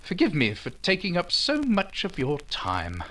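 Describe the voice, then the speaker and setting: An actor playing a proud, ardent Regency gentleman suitor in old-time radio romance style, formal and earnest. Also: high voice, huge pitch swings, slight background noise, full character voice, poor audio. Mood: wounded pride. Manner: formal, earnest, halting when moved.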